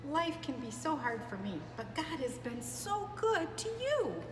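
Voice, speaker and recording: A woman's voice: high-pitched, very animated, a little slow, voice pushed up. A woman voicing a tiny, cute female bush baby in a storybook, speaking earnestly and expressively. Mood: earnest, wistful. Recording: echoey reverberant room, clear background noise.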